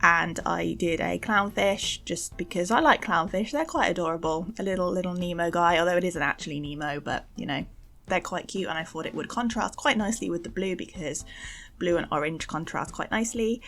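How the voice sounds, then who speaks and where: A young woman narrates a craft video in a fairly low voice. She is chatty and informal, a little self-deprecating as she explains her steps. Soft music plays behind her. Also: a little fast, vocal fry. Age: young adult. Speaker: host of an art channel. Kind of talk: casual craft voice-over narration. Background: faint background music.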